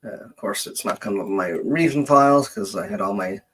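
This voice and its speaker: Husky-voiced man, halting, thinking aloud. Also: lowish voice, animated, a bit bright. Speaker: genealogist hosting a question-and-answer stream. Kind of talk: casual live screen-share walkthrough.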